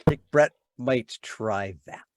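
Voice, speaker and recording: A man's voice: medium pitch, a little slow, no echo, studio quality. A man chatting casually as a player in a tabletop game. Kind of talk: casual chat.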